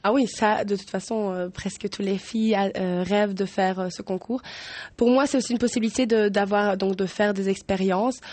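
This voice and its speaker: A woman's voice: mid-range voice, fairly even intonation, normal speed, darkish tone, no room sound. A woman in her twenties, a pageant candidate whose first language is German, answering interview questions in a studio in hesitant speech full of restarts and fillers. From German-speaking Belgium. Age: 20s.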